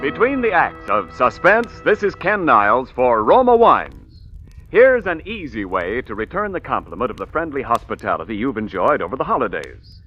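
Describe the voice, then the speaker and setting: A man's voice: medium pitch, sing-song, normal speed, bright, forceful tone, soft background music. A man in the role of vintage radio announcer gives a polished sales read. His middling voice swings expressively, and the old recording is muffled. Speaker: commercial announcer.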